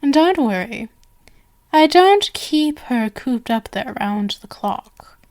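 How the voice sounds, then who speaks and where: Casting: actress as female stage magician for ASMR roleplay, high voice, unhurried delivery, flowery and coaxing, genial with a sinister undertow. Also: expressive, darkish tone. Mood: playful, showy. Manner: flowery, theatrical, coaxing speech.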